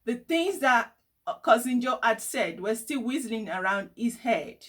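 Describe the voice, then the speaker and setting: A woman reading a children's story aloud in an expressive, deliberate storytelling way. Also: on the high side. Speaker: storybook reader.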